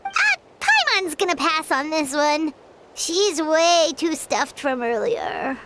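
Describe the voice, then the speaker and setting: A woman's voice: sing-song, slow, heavily acted. A woman voicing a tiny floating female fairy companion who talks about herself in the third person, in a video game. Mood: chirpy. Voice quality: high squeaky voice, speaks of herself in third person. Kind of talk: game voice acting. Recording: gameplay audio with clear background noise.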